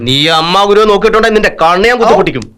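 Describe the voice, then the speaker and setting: A pompous fortune-teller guru. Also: male voice, high-pitched, animated, very fast, fairly bright tone. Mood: solemn. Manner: solemn, prophetic, mock-grave. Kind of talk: dubbed film comedy.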